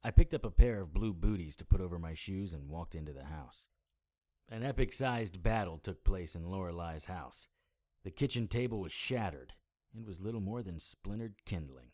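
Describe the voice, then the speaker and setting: An actor playing a wry, world-weary immortal investigator in middle age, with a dry, dramatic delivery in an audio drama. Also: male voice, low voice, expressive, moderate pace, a little mellow. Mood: tense, matter-of-fact. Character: wry world-weary immortal male investigator who looks about forty. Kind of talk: audio drama dialogue and first-person noir narration.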